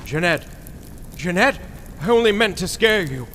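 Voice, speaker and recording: Man playing a sharp-voiced ruthless crime boss. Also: high voice, expressive, moderate pace, a little hiss or hum. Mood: pleading. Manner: domineering, sneering, melodramatic. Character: Burkett, ruthless, scornful male criminal boss. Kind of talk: Golden Age radio drama acting.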